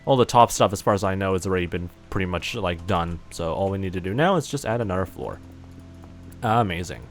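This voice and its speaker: Male streamer, lowish voice, loose rambling game-stream chatter, faint music underneath. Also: lively intonation, brisk. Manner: rambling, many fillers, self-mocking. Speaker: livestreamer. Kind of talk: casual gaming livestream commentary.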